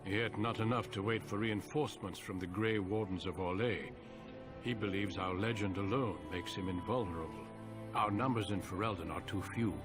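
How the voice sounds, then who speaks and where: A man performs a seasoned commander in a fantasy video game, grave and formal. His voice sits on the low side, and he speaks with measured solemnity. Music plays clearly behind him. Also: close to an everyday voice. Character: grave, seasoned male commander of a warrior order. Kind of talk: fantasy game dialogue and scripted narration.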